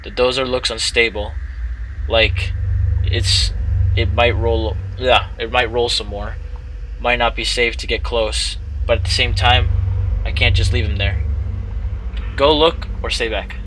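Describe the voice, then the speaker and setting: A man's voice: mid-range voice, fairly even intonation, unhurried, pauses often, a bit bright, a little hiss or hum, rough recording. A man hosting a gaming video, reading story text aloud with commentary and often stumbling over and repeating phrases.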